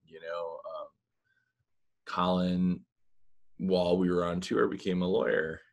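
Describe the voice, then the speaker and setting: A man's voice: sits low, measured pace. A man in his thirties, a musician guest, talking casually and loosely with many fillers over a video call from a small room. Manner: relaxed, rambling, many fillers. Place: small room with blinds, indoors. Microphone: laptop webcam mic on video call.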